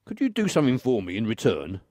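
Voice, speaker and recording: A man's voice: medium pitch, huge pitch swings, quick pace, warm tone, no echo, studio quality. A man playing a put-upon, obliging odd-job man in a radio play, talking in a wry, incredulous, conversational way. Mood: resigned, hopeful.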